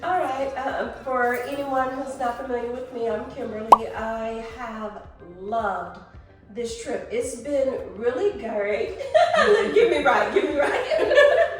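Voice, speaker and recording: Woman, 50s, higher voice, playful and emphatic, distant roomy recording. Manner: playful, laughs easily, emphatic. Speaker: trip guest. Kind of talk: casual group chat. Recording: echoey room, away from mic.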